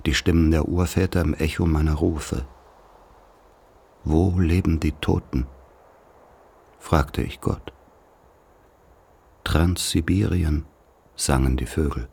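An actor in a radio play playing a grave man who recalls a dark rural childhood, speaking in solemn, measured, poetic phrases with a husky voice. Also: very deep voice, steady tone, full character voice. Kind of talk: literary radio play monologue.

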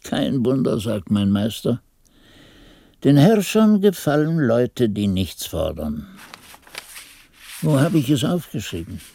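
An actor playing an anxious monk chronicler in a radio play, musing to himself as he recalls his novice days in a husky, mellow voice. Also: medium pitch, very animated, slow pace, long pauses, no room sound, full character voice. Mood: troubled, searching.

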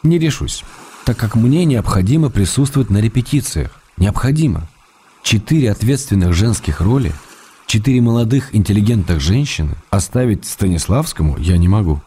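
A tender, wry ailing writer. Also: male voice, lowish voice, expressive, normal speed, warm tone, slightly rough. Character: ailing male writer writing tender letters to his wife. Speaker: actor reading letters. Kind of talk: radio play letter reading.

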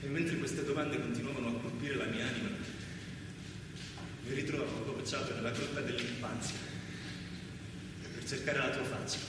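A man's voice: medium pitch, steady tone, moderate pace, long pauses, close to an everyday voice. A man acting an anguished, resentful farmer who broods on his brother in a murmured radio theatre monologue. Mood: anxious, searching. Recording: echoey hall, distant mic, noisy.

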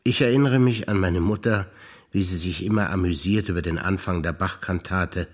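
A man, a stage actor, calmly reciting a series of childhood memories in a theatre performance. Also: deep voice. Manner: calm, measured recitation.

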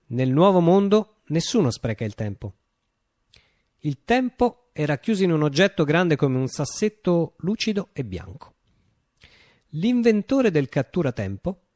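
Man performing a visionary revolutionary leader, theatrical radio monologue, grandiose and poetic, very varied pitch, dry close sound. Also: darkish tone, dry recording. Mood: solemn, visionary. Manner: declamatory, with deliberate dramatic pauses.

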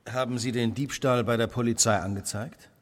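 An actor playing a keen, determined Victorian gentleman detective in a radio play, with precise, polite diction. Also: mid-range voice, fairly even intonation, fairly quick, lightly acted. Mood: politely probing.

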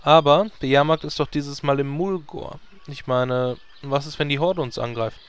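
A man's voice: fairly high pitch, moderate pace, smooth, close to an everyday voice. A man playing a haughty, grumpy hero who takes himself seriously, in a parody audio drama. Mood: wary. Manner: disdainful, stern.

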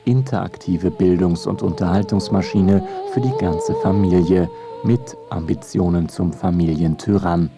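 A man's voice: deep voice, measured pace, over music. A man narrating a scripted radio feature in a wry documentary style.

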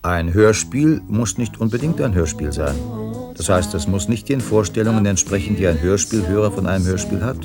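A man's voice: on the low side, lively intonation, moderate pace, over music, lightly acted. An actor playing an earnest man in an experimental radio play, reasoning dryly in circling repetitions. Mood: dry, reflective.

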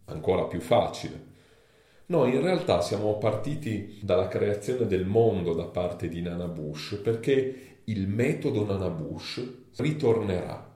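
A man's voice: fairly low pitch, animated, measured pace, dark tone. A man speaking informally and conversationally, explaining and introducing a performance, in an echoing live recording. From Italy. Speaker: author introducing his show. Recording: roomy, echoing live sound.

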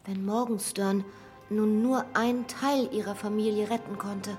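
A woman's voice: medium pitch, fairly even intonation, unhurried, darkish tone, soft background music, natural voice. An actress playing an intense, inquisitive noblewoman in a radio drama. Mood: indignant, probing. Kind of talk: German radio drama acting.

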